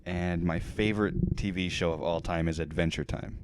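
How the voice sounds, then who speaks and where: A man's voice: sits low, fairly even intonation, moderate pace, a little hiss or hum. A twenty-year-old man chatting on a podcast in a laid-back way, with dry jokes. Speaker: podcast co-host. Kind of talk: casual chat.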